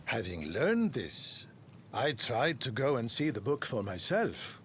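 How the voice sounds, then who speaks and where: Courteous, lilting arcane scholar. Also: male voice, medium pitch, sing-song, a bit bright, a little hiss or hum. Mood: earnest, storytelling. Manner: formal, measured, storytelling. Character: kindly, courteous, self-important male scholar of arcane arts. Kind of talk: video game character exposition.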